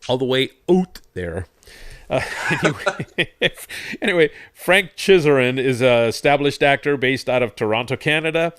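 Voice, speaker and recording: A man's voice: medium pitch, lively intonation, normal speed, fairly bright tone, clear, resonant tone, no room sound, studio quality. A man hosting an interview, upbeat and jokey in his chat. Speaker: host. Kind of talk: friendly interview.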